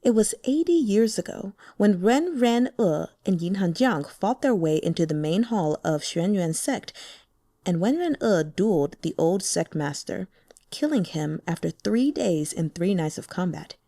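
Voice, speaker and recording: A woman reading a translated fantasy novel aloud as an audiobook narrator, expressive and voicing the characters. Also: mid-range voice, measured pace, no echo, clean studio sound.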